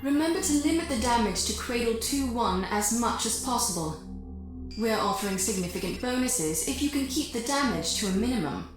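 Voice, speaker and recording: An actress playing a formal, composed corporate envoy delivering a scripted mission briefing in a video game. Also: female voice, fairly high pitch, lively intonation, fairly quick, natural voice. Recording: very roomy echo, loud music behind.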